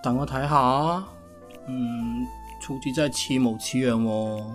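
A man with a Hong Kong accent playing a flattering, henpecked husband given to clownish despair in a comedic radio drama. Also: mid-range voice, measured pace, soft background music, natural voice. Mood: playful.

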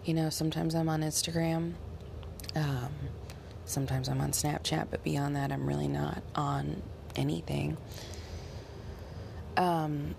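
Woman, 30s, low voice gone hoarse and gravelly, halting casual delivery with lots of ums, faint background hiss. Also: a little mellow. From United States. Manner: halting, frequent ums, slows to stress points. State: hoarse from bronchitis. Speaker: podcast host. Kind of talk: casual personal update.

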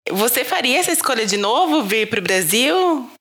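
A woman hosts an interview podcast, keeping the conversation moving with short acknowledgements and follow-up questions. Her voice sits slightly high. She sounds attentive and steady. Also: moderate pace. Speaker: podcast host.

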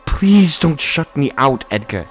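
A man's voice: a little high, very animated, unhurried. A man playing a devoted teenage younger brother in a melodramatic audio drama, earnest, emphatic and pleading. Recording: voice under loud music. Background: music.

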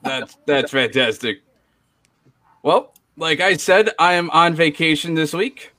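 A man appearing as a guest in a casual live-stream chat, relaxed and friendly. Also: a little high, slow pace.

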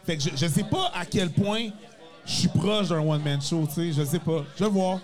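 A man's voice: on the high side, normal speed. A man with a Quebec French accent chatting casually as a comedian guest on a podcast, laid-back and full of "tu sais".

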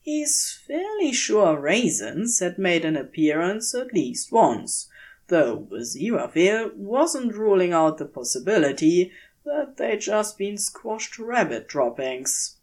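A woman reading a story aloud as scripted narration, in a measured, expressive storytelling manner. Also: mid-range voice. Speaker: audiobook narrator, podfic reader.

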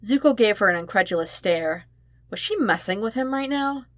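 A woman reading fan fiction aloud in an expressive audiobook style, with emphatic, dramatic phrasing. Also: mid-range voice, moderate pace, no echo. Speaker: podfic narrator.

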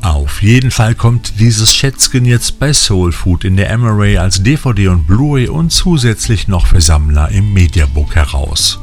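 A man with a deep voice presents a scripted news magazine for fans. He talks in colloquial, enthusiastic patter, as a host addressing his audience. Music plays softly behind him. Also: animated, moderate pace, few pauses. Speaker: podcast host. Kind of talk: scripted newsreel-style magazine narration. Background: music bed.

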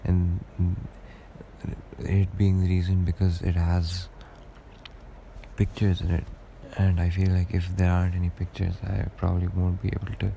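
A young man with a deep voice, rambling through a casual solo podcast monologue with lots of hesitations. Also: completely flat delivery, moderate pace, vocal fry, mellow, a little hiss or hum, poor audio. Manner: rambling and hesitant, many fillers and restarts. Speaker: podcast host.

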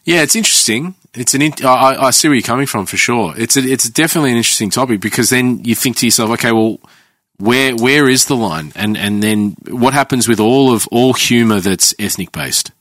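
A man's voice: mid-range voice, fairly quick, fairly bright tone, dry recording. Man, late 30s, agreeable, dry close mic. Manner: agreeable, frequent backchannel. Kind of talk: casual podcast chat.

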